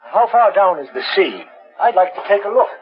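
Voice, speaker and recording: An actor playing an excitable, loyal detective's sidekick in a 1940s radio drama. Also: male voice, high voice, a little fast, faint music behind. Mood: plain, curious. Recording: noisy, muffled 1940s radio broadcast.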